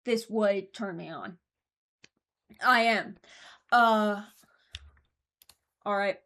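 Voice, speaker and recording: A woman's voice: mid-range voice, extremely slow, fairly bright tone. Female YouTuber for casual ranking commentary; frank, rambling, hesitant, mock-serious. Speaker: YouTuber ranking game voice lines.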